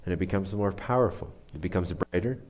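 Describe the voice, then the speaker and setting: Deep voiced guy, teaching a guided meditation, takes his time, pauses to find words. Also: a little mellow. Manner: unhurried, searching for words.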